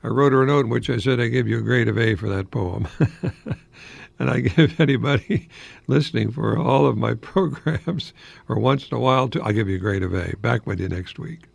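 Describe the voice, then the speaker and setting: An older American man hosting a folksy solo radio talk in a halting, rambling, easily amused manner. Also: medium pitch, gravelly, dry recording. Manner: chuckles often at his own remarks, halting and rambling. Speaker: radio host, longtime English professor.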